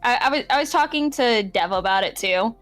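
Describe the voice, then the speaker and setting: A woman's voice: a little high, expressive, fairly quick, fairly bright tone. A woman chatting casually on a podcast as a co-host, with a giggly way of talking. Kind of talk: casual chat. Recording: background music under voices. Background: background music.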